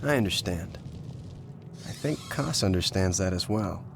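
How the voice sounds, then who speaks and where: An actor playing a steady, reassuring hero in a video game cutscene, calm and supportive. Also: male voice, low-pitched, unhurried, gravelly, over music, exaggerated voice. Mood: calm counsel.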